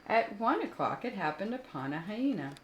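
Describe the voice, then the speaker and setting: A woman reading stories aloud for children in an expressive, explanatory storytelling style, with small asides to the listeners. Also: medium pitch, moderate pace. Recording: roomy echo with faint background noise.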